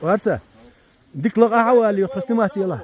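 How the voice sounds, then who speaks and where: A man from Chechnya trades easy, joking remarks with his companions as they hike outdoors through a mountain gorge. His voice sits noticeably high for a man, and his talk stays relaxed and offhand throughout. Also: fast talker. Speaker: hiker. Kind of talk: casual chat among friends on a hike.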